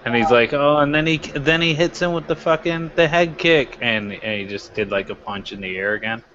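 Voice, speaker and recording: A man's voice: mid-range voice, moderate pace, a bit bright, highs cut off, a little hiss or hum. A man co-hosting a wrestling review show, giving his commentary in loose, casual chat.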